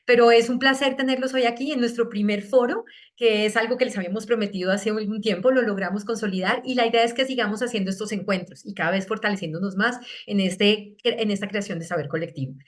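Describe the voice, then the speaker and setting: A woman, a board president giving a warm welcome over a video call. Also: mid-range voice, quick pace. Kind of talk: welcome speech.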